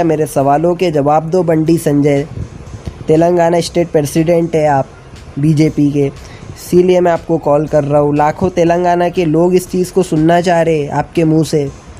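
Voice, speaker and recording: Bright, insistent young Indian man. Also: fairly high pitch, slight background noise. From Maharashtra, India. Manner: insistent, repetitive, addressing a politician directly. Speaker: activist caller. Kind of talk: political video appeal.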